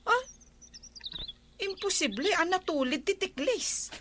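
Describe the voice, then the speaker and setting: A woman playing a chatty village neighbour who is quick to grumble, exclaiming colloquially in a comedic radio drama. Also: extremely high pitch, animated, background noise, heavily acted. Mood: indignant.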